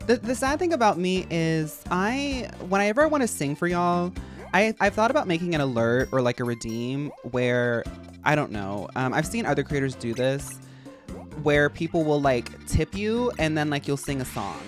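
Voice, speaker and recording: High feminine-sounding guy streaming games, chatty, says y'all a lot, bouncy up and down pitch. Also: expressive, normal speed, faint music behind. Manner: rambling, chatty, addresses chat as y'all. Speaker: game streamer. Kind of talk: casual live-stream chat while playing.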